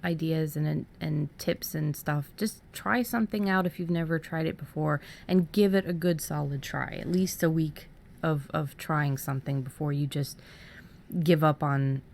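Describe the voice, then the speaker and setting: Low-pitched woman, casual and encouraging. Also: steady tone, normal speed, gravelly, darkish tone, a little hiss or hum. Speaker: podcast co-host. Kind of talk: casual conversational encouragement and advice.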